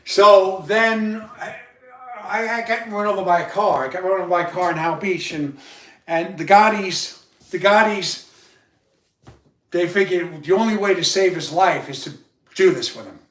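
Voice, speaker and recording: Loud guy rambling on a livestream, keeps restarting and repeating words, echoey room. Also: high-pitched. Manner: repetitive, halting, many restarts. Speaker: livestream host. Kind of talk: rambling personal monologue. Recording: roomy sound, far from the mic.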